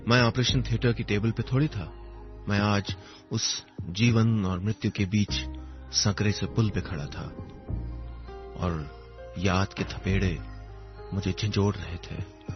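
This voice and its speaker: Wistful, low-voiced male storyteller. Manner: wistful, reflective, poetic phrasing with pauses. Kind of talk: dramatic first-person story narration. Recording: dull lo-fi audio, music underneath. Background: music.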